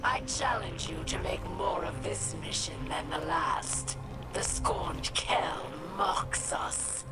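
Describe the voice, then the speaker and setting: An actress playing a proud, bitter female alien warrior exile in a video game, speaking formally and haughtily in a breathy rasp. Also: on the low side, animated, takes it slowly, in a whisper, loud music behind the voice, poor audio. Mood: mocking. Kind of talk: video game character dialogue.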